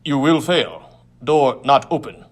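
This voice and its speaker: Man voicing a blunt, loud alien gang boss. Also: mid-range voice, animated, slow pace. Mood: scornful. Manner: blunt, clipped broken speech. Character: impatient blue-skinned one-eyed male alien gang leader. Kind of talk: audiobook character voice.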